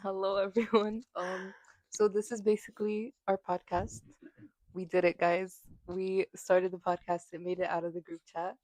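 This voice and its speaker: A woman's voice: mid-range voice, flat delivery, moderate pace, darkish tone, no echo. A woman in her early twenties, raised in New York, co-hosting a podcast in a chatty, giggly way with many fillers. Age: 20s. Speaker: podcast co-host. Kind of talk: casual chat. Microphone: small desk mic.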